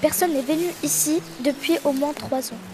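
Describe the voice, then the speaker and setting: A woman's voice: high-pitched, moderate pace, noisy background, lightly acted. A young girl playing an uneasy but eager female science student in an audio story. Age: child.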